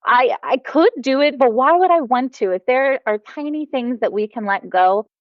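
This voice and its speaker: A woman's voice: sits high, animated, moderate pace, no echo, highs cut off. An American woman, a mom and former teacher, talking enthusiastically as a podcast guest. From United States. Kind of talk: casual podcast conversation.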